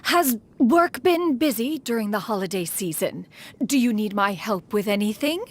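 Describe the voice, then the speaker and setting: A woman's voice: on the high side, expressive, moderate pace, lightly acted. A woman voicing a prim, archaic-speaking immortal. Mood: muttering aside. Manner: formal, archaic speech. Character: old adeptus woman, prim, scheming, refers to herself as "one". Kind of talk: game voice acting.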